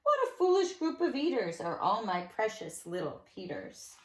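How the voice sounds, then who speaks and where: A woman's voice: mid-range voice, big pitch swings, normal speed, slight background noise, close to an everyday voice. A woman voicing a weary, exasperated storybook mother who mutters complaints to herself about her many fussy children. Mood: weary exasperation. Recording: echoey room sound.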